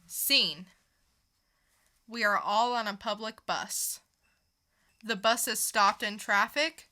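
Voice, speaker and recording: A woman's voice: mid-range voice, slow, bright, forceful tone. A woman co-hosting a podcast in a casual chat style about comics. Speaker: podcast co-host.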